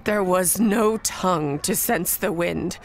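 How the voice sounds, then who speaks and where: A woman playing the undead young Bride of Frankenstein in a gothic horror audio drama, solemn, hushed and breathy in a poetic monologue. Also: fairly low pitch, a little hiss or hum. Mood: mournful. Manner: breathy, hushed, incantatory, deliberate.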